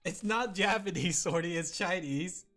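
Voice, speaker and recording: A man's voice: sits high, normal speed, fairly bright tone, soft background music. A man streaming and chatting casually with his viewers, rambling with lots of fillers and frequent giggles.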